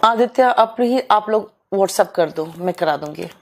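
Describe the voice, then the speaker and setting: Hoarse woman teacher, live online class, motherly, keeps clearing her throat. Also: mid-range voice, lively intonation, unhurried. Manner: motherly, calls students "beta", coaxing them to hurry. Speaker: English teacher.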